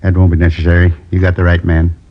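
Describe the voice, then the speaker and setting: An actor playing a lonely man in his seventies who confesses to a robbery in a slow, rambling, wistful way, in 1950s radio drama acting. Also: low voice, completely flat delivery, natural voice. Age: 70s. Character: lonely old male drifter turned robber. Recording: dull old radio broadcast.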